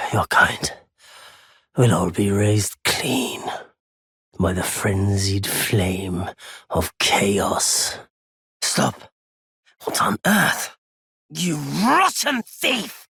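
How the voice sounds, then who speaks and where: An actor playing a wary, proud nomadic merchant in a video game, with a husky, gravelly voice and a weighty, theatrical delivery. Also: male voice, mid-range voice, bright, forceful tone, dry recording, studio quality, exaggerated voice. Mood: bitter, then outraged shouting. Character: wary, courteous nomadic male merchant turned bitter and vengeful. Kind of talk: scripted game character lines.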